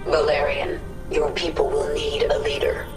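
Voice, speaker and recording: A woman's voice: low-pitched, monotone, normal speed. A woman voicing a vengeful, battle-hardened alien queen in a video game, commanding and grave, with a layered echoing effect. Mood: grim resolve. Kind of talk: dramatic video game acting. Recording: echoey, distant voice under loud music.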